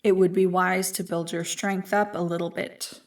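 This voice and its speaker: A woman voicing an ancient, evil primordial being in an ASMR roleplay, smug and tempting, with a deliberate, taunting delivery. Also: fairly low pitch, level tone, recorded from a distance, natural voice. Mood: ominous, coaxing. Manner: slow, deliberate, taunting, coolly amused.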